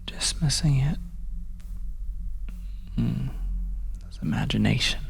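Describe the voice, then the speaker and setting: A storyteller narrating a suspense horror ASMR piece in the second person, in a hushed and deliberate manner with long pauses. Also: medium pitch, vocal fry. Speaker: audio storyteller.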